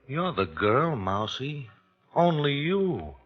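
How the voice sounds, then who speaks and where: An actor playing a tough, streetwise husband who talks in curt slang, in 1940s radio drama style. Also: male voice, medium pitch, very animated, slow. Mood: coaxing. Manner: curt, hard-boiled, streetwise. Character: tough, slangy, threatening husband with a shady streak. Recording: dull vintage radio recording.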